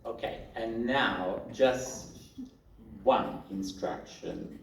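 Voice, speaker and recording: Man, organizer announcements, hesitant, slow, echoey distant mic. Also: medium pitch, wildly swooping pitch, vocal fry. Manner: hesitant, with fillers and restarts. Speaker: event organizer giving instructions. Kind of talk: informal announcements to an audience. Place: large hall at a literature event. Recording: echoey hall, far from the mic, faint noise.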